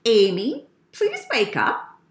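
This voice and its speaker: A woman voicing a gentle, flustered mother from a children's storybook, sweet and expressive. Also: a little high, slow pace, heavily acted. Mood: sweet, coaxing. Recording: roomy, echoey indoor sound.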